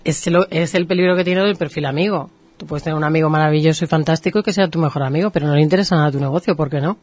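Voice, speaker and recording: A woman's voice: low voice, very fast. A woman with a Spanish accent from Spain, a marketing professional explaining things patiently on a radio show. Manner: explains patiently, with lively examples. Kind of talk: explanatory interview talk.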